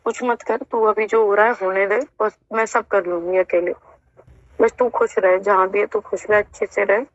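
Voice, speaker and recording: A woman's voice: medium pitch, steady tone, moderate pace, no echo. A woman speaking emotionally and insistently in a heated personal phone conversation, sounding aggrieved. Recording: dull, lo-fi recorded phone call.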